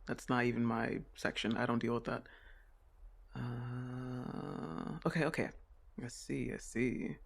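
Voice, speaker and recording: A woman's voice: rumbling low, gravelly, full character voice. A woman playing an awkward, well-meaning sleep paralysis demon in an ASMR roleplay, talking in a hesitant, flustered and reassuring conversational way. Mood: embarrassed, concentrating.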